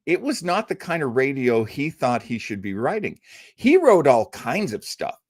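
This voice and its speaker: Animated man hosting a radio listening show. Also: mid-range voice, normal speed, dry recording, studio quality. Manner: enthusiastic, emphatic. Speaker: host of an old-time radio listening show. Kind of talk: casual, chatty introduction to a radio show.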